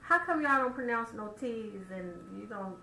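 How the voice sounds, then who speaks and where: Bright-voiced woman radio host. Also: on the high side, moderate pace. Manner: animated, talks straight to listeners, rhetorical questions. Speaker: radio talk show host. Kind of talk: casual radio monologue. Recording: roomy echo, faint background noise.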